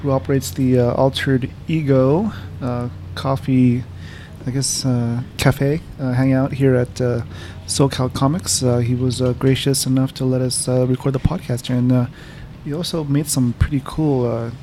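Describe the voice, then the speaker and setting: A man's voice: mid-range voice, flat delivery, normal speed, mellow. A man hosting a podcast, introducing guests casually with frequent uhs and ums. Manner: hesitant, many uhs and ums. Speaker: podcast host. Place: comics shop cafe. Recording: faint ambient noise in a cafe.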